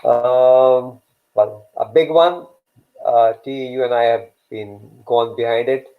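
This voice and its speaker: A man's voice: mid-range voice, takes it slowly. Man with a native Urdu tongue on a tinny line. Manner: rambling, repeats words while thinking. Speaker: host. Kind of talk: live online talk show.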